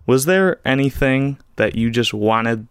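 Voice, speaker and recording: A man's voice: mid-range voice, animated, measured pace, clean tone, no echo. A man hosting a podcast interview, asking friendly, complimentary questions. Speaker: podcast host. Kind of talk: casual interview chat.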